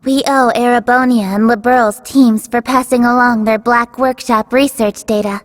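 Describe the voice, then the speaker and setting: A woman's voice: fairly high pitch, steady tone, moderate pace, in a large hall, lightly acted. An actress playing a thoughtful, composed young female teammate in a video game cutscene. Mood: composed, glad. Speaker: voice actor.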